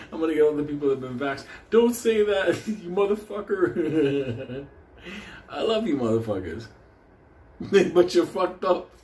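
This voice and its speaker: A man's voice: fairly high pitch, animated, measured pace, long pauses, darkish tone. Puerto Rican man, Spanish native, hosts his own show, rambles and swears, laughs a lot, echoey room with faint hiss. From Puerto Rico. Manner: rowdy, laughs often, swears constantly. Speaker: show host. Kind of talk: casual profane storytelling monologue.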